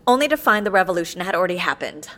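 An actress playing a dry, sardonic death-faking specialist in an audio drama, wry and understated. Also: female voice, fairly low pitch, sing-song, very fast, fairly bright tone. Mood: explaining.

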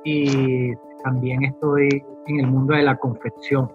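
A man's voice: mid-range voice, flat delivery, slow pace, soft background music. A man in his forties with a Venezuelan accent, a garment maker giving informal interview answers. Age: 40s. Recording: dull lo-fi voice recording.

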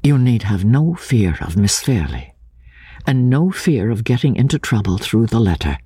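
An earnest gentleman hero of a classic novel, voiced by a female reader. He speaks in a husky, dry-recorded voice with plenty of rise and fall, measured, coaxing and gently reassuring. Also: very deep voice, sing-song, dry recording, full character voice. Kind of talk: dramatic audiobook character reading.

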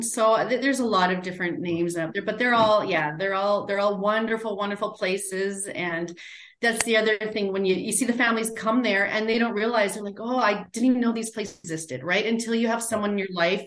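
A middle-aged woman talks in an easy, chatty way and keeps checking in with "right?". Her pitch sits in the middle, and the room adds a clear echo. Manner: chatty, warm, many "right?" and "like" tags. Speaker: guest, end-of-life doula. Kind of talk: casual interview talk.

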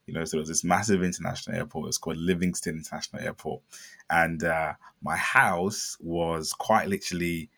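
A young man from Zambia, a company founder telling his story in a chatty interview, with lots of fillers and bursts of excitement. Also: low-pitched, brisk, gravelly. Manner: chatty, many fillers, animated when excited. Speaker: startup founder guest.

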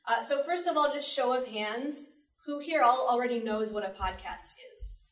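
A woman's voice: a little high, moderate pace. A woman moderating a live panel in a casual, conversational way as its host. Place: public library event room. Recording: roomy live panel recording, distant mic.

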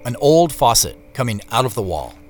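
Male actor as reflective first-person narrator, audio drama, measured storytelling, lively intonation, light music underneath. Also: mid-range voice, natural voice. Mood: focused, intent. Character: reflective man narrating his secretive search. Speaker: narrator and lead character.

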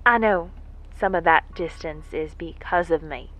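A woman's voice: fairly low pitch, measured pace, a bit bright, low-quality recording. A woman playing a folksy, lovesick moonbase worker who rambles with wry self-deprecation in an audio drama monologue. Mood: wistful, rueful. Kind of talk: audio drama monologue, a spoken letter home. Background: faint music.

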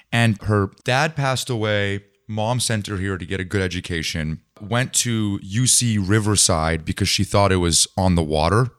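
A man chatting casually as he interviews a guest on a podcast. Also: lowish voice.

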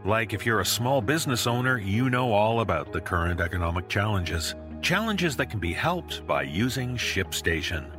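A man delivering a smooth, formal, scripted podcast ad read with fluent phrasing. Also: lowish voice, sing-song, normal speed. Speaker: podcast ad reader. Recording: background music bed under voice. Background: spooky atmospheric music.

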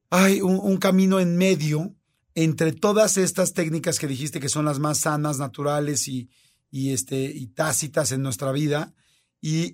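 A man's voice: on the high side, a little mellow. A man with a Mexican Spanish accent, hosting a conversation and talking earnestly and animatedly. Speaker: host. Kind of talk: casual conversation.

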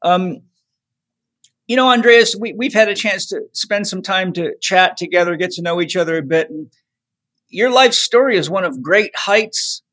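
A male podcast host with a high, bright, forceful voice. He asks his guest questions in a smooth, friendly and measured way. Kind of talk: interview.

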